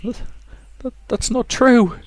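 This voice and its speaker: A man's voice: very high-pitched, takes it slowly, dry recording, voice pushed up. A man voicing a frightened, reluctant young woman who stammers and pleads in a visual novel. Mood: distressed.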